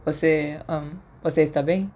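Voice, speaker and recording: A reserved, kindly teenage schoolboy with a Brazilian accent, played by a woman in an audiobook. He speaks hesitantly and plainly, in a soft, dark, mellow voice. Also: low-pitched, fairly even intonation, a little slow. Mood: awkward. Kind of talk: audiobook character dialogue.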